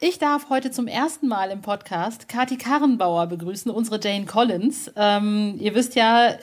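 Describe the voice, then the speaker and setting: A woman's voice: medium pitch, moderate pace. A woman hosting a podcast in a chatty, informal and enthusiastic way, speaking directly to listeners. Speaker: podcast host.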